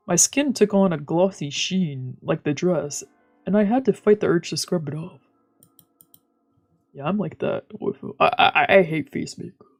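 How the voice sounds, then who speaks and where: A streamer reading visual novel narration aloud, with casual asides, while music plays behind. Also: mid-range voice. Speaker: streamer, let's player.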